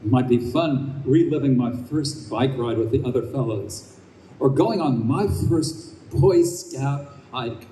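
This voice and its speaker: A man's voice: medium pitch, a little slow, mellow, close to an everyday voice. An actor playing an anxious, indignant father awaiting rebirth, in an earnest, exclaiming old-time radio style. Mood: wistful musing. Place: live performance in a convention room. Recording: roomy, distant live stage recording, faint noise.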